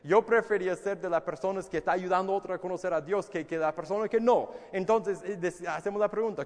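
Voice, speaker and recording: A man's voice: a little high, a little mellow, long reverb tail. A man preaching a sermon in Spanish, a language he learned as an adult, earnest and emphatic, often repeating words and restarting phrases. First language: English. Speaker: pastor.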